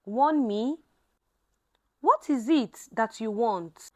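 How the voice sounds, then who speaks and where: A fearless, troubled heroine acted by a woman storyteller in a dramatic tale. She speaks earnestly and defiantly in a high, lively voice. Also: extremely slow, full character voice. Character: fearless young woman mechanic with troubling magical power.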